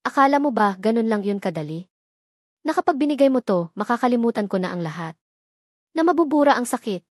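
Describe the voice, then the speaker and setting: A woman's voice: on the high side, animated, fairly quick, a little mellow, no echo, studio quality. A woman playing a proud, hurt young woman in a dramatic story, speaking hard, cold and accusing. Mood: angry, hurt.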